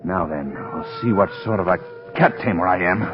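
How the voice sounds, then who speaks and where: An actor playing a bold, protective adventurer in a 1930s radio drama, terse and commanding, on a muffled old recording. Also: male voice, low voice, animated, normal speed, over music. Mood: urgent, commanding. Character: bold, brusque, protective male adventurer hunting a beast.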